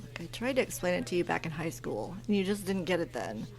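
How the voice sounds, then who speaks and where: An actress playing a frustrated, disapproving wife of thirty in a horror audio drama. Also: female voice, lowish voice, big pitch swings, quick pace, low-quality recording. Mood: resentful. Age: 30s. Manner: reproachful, emotional. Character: frustrated thirty-year-old wife, disapproving, easily upset friend.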